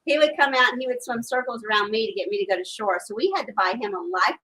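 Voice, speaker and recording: A woman's voice: mid-range voice, fast talker, pushed and bright, low-quality recording. A woman co-hosting a casual live chat outdoors, reading comments aloud and rambling with many fillers, recorded on a phone camera. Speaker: live show co-host. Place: outdoors on a porch during a storm.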